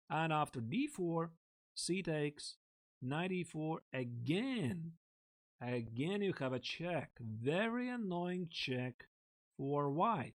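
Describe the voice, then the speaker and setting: A man coaching chess on a live stream, thinking aloud and chatting casually with viewers in a close desk mic. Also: on the high side. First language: Latvian. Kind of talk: live-streamed chess lesson, explaining lines.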